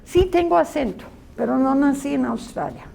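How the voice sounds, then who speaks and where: A woman's voice: high voice, lively intonation, moderate pace, creaky, mellow. A woman Bible teacher giving a conversational classroom lecture to students. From Colombia. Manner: earnest, conversational teaching with asides.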